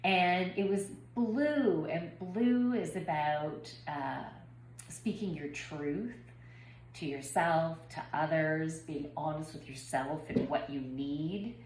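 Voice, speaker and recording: A woman's voice: low-pitched, slow, darkish tone. A woman in her forties, a bit congested from a head cold, talking in a rambling, personal way with many ums and restarts in a spiritual vlog. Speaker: spiritual reader and yoga teacher. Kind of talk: casual spiritual channeling vlog. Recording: echoey room with faint background noise.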